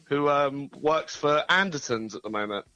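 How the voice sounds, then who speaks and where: A relaxed man chatting about his band, stumbling through fillers as he goes. His voice is bright and a bit tense, the sound dry and close. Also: on the high side, normal speed, clean tone, no echo, dull audio. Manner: relaxed, hesitant, lots of fillers. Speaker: band member, interview guest. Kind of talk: casual interview. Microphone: phone line.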